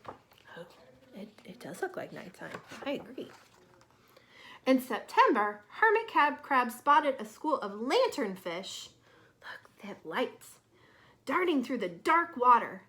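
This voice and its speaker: Bright, very animated woman reading to children. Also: a little high, slow, long pauses. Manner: expressive, careful phrasing, explains to young children. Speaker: teacher reading a picture book to children. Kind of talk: children's storybook read-aloud with asides to listeners.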